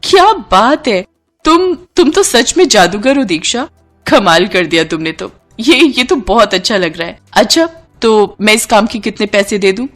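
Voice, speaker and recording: A woman's voice: medium pitch, expressive, normal speed, soft background music, natural voice. A woman voicing a glamorous, grateful young actress in a cartoon story, effusive and affectionately bossy, like a big sister. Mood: excited. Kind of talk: Hindi cartoon story dialogue.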